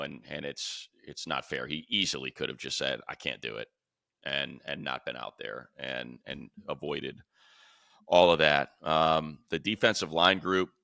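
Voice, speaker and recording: Male sports talk host: extremely low voice with fry, conversational, circling back on his phrasing. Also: pushed and bright, no echo, clean studio sound.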